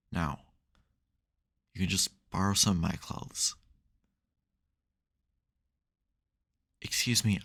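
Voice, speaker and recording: Deep, gravelly soft-hearted supervillain played by a man. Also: heavily acted. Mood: teasing, bossy. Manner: dry teasing, hesitant, mock-grudging. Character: supervillain nemesis, secretly soft, teasing and flustered. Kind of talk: intimate ASMR audio roleplay.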